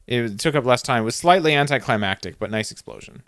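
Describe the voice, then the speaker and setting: Male co-host, relaxed and wry, dry close sound, chatty episode review. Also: medium pitch, fast, smooth, dry recording. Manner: laid-back, wry, joking. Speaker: podcast co-host. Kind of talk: casual chat reviewing a TV episode.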